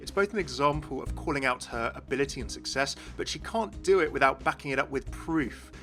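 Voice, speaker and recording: A man's voice: medium pitch, fairly quick, fairly bright tone. A man hosting a gaming video, narrating in an enthusiastic explainer style. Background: music.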